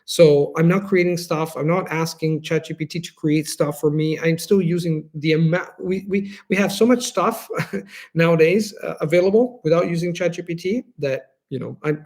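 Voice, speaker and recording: An Italian-accented man hosts a live question-and-answer stream, talking off the cuff. His voice sits a little high for a man and carries a gravelly rasp. He explains things earnestly and often restarts a phrase while he thinks. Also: moderate pace, darkish tone. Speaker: host answering viewer questions.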